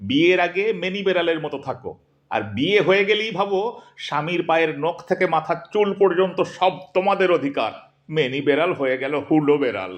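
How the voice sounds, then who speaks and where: A man's voice: a little high, animated, brisk, lo-fi sound, natural voice. A man playing an exasperated, sarcastic middle-aged husband in an audio drama, bickering in an argumentative, emphatic, theatrical way. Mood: bitter, scolding. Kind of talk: Bengali audio drama acting.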